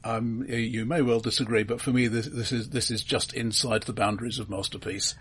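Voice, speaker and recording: A man co-hosting a casual film discussion, speaking in an analytical, halting way as he works out his points. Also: medium pitch, steady tone, a bit bright, husky. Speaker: film podcast co-host.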